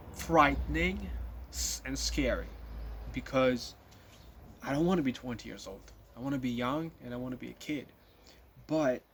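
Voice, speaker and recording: A man's voice: mid-range voice, slight background noise. Rambling nineteen-year-old man vlogging. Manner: rambling, many fillers and repeated restarts. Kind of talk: casual spoken monologue to viewers.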